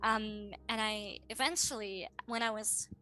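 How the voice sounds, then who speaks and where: Bright, bubbly woman in her twenties. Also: medium pitch, steady tone. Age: 20s. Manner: enthusiastic, bubbly, quick to laugh. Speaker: guest. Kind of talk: casual interview chat.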